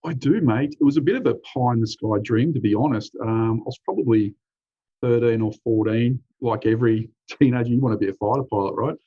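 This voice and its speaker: A man in his forties with an Australian accent, an easygoing veteran telling his story in a relaxed, chatty way. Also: mid-range voice, a little fast. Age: 40s. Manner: easygoing, uses "mate", frequent "um" and "you know". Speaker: military veteran guest. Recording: dry, slightly dull lo-fi recording.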